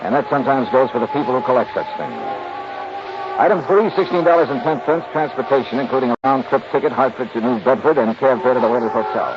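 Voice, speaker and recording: An American actor playing a wry, unflappable insurance investigator in a 1950s radio detective drama, clipped and casual. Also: male voice, medium pitch, expressive, fast talker, over music, close to an everyday voice. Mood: crisp narration. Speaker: lead actor and narrator. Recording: muffled, noisy 1950s radio recording.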